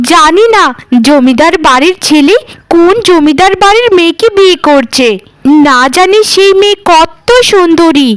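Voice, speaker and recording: A vain, spiteful cartoon daughter, acted by a woman, talks in a high voice. Her delivery is exaggerated and youthful, recorded dry and close. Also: a bit bright, no room sound. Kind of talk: Bengali cartoon voice acting.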